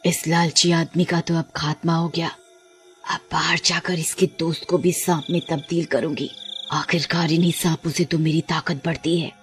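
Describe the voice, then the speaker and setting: A ghostly serpent woman of a haunted cartoon mansion, acted out by a woman. Her voice sits a little low over faint music. She taunts and threatens her victims, vengeful and gloating. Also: little pitch movement, moderate pace, natural voice. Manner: taunting, menacing. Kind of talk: Hindi cartoon horror dialogue.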